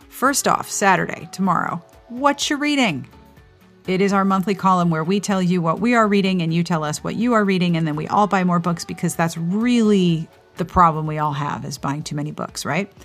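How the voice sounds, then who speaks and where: A woman with a somewhat low voice speaks as a podcast host over music. She moves through scripted show notes in a chatty, playful style, adding enthusiastic little asides.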